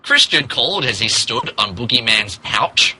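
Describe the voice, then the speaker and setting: A man's voice: mid-range voice, very animated, normal speed, pushed and bright, slight background noise, rough recording. A man reading fan fiction aloud in a mock-dramatic style, poking fun at the mistakes in the text as he goes. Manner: stumbles over and mocks the text's typos. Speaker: podcast host reading aloud.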